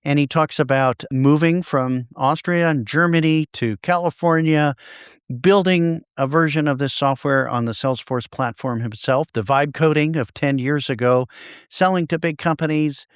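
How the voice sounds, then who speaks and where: A man's voice: fairly high pitch, normal speed, clear, resonant tone, no echo, clean studio sound. A man hosting a podcast, upbeat and conversational as he introduces and questions his guest. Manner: upbeat, conversational, some restarts. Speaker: podcast host. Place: home in Dallas.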